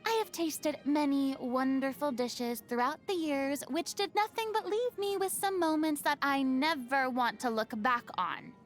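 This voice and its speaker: A young game heroine: high, unhurried, haughty former stage star, refined and dramatic, wistful underneath. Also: female voice, faint music behind. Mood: haughty, critical. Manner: theatrical, refined, haughty diction with dramatic swings. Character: theatrical young woman, proud, dramatic former stage idol, secretly wistful. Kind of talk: video game character voice lines.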